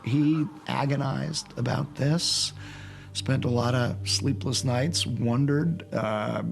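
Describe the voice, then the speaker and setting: A man's voice: medium pitch, slow pace, vocal fry, soft background music. A man recounting a story as an interviewee in a documentary. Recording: faint background noise.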